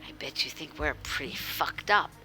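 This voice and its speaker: A woman voicing a gaunt, sardonic middle-aged male vampire junkie with a hoarse edge, from a text story game. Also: sits low, animated, normal speed, sharp tone, soft background music. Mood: sardonic, bitter.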